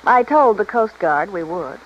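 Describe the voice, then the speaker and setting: A woman's voice: medium pitch, animated, a little slow. A woman acting a discontented, self-pitying wife in an old radio drama, speaking in a hesitant, confessional manner. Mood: matter-of-fact. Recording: dull, faintly noisy old radio recording.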